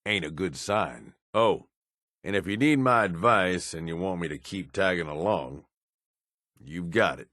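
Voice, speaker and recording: An actor voicing a tough, cynical middle-aged American raider in a video game, gruff and folksy in a plain-spoken way. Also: male voice, deep voice, expressive, measured pace, fairly bright tone, no echo, clean studio sound. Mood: firm. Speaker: companion character in a video game. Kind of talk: acted video game dialogue.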